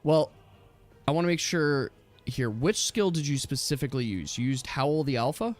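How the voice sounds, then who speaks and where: A man's voice: on the high side, moderate pace. A man acting as game master in a casual tabletop game, explaining rules step by step. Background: background music.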